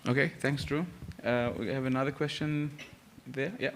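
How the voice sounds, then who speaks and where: A man's voice: medium pitch, normal speed, gravelly, slight background noise. A man moderating a conference panel, calling on audience questions in an informal, filler-laden way. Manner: relaxed, informal, with many fillers.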